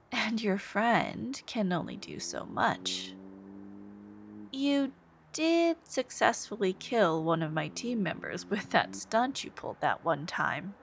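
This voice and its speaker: A woman acts out a lovestruck young female villain who stalks her prey in an intimate ASMR roleplay. She takes her time over each phrase at a medium pitch. Her delivery is coy and theatrical, sweet on the surface with menace underneath. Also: a bit bright, heavily acted. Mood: dismissive, taunting. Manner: coy, unhurried, theatrical, lapsing into breathy murmurs.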